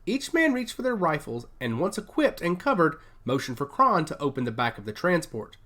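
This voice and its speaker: Male audiobook narrator for sci-fi drama. Mid-pitched, highly animated intonation, measured and expressive delivery. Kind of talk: scripted dramatic narration of science fiction.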